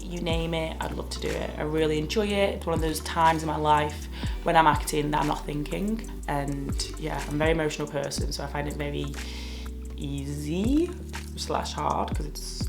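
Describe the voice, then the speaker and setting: A woman's voice: deep voice, vocal fry. A woman in her twenties from the north of England with a northern English accent, talking bluntly in a casual Q&A vlog. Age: 20s. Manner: blunt and outspoken. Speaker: model and vlogger.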